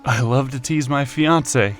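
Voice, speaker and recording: A man's voice: medium pitch, unhurried, faint music behind, natural voice. A man playing an affectionate, teasing man in his early twenties in an audio drama, with an easygoing manner. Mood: fond, teasing. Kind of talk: audio drama acting of fan fiction.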